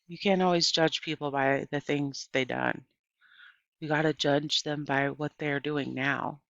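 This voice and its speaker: A woman voicing a kind, plainspoken old woman in a storybook, with a folksy, unhurried, gently instructive manner. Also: low-pitched, creaky, no echo, lo-fi sound.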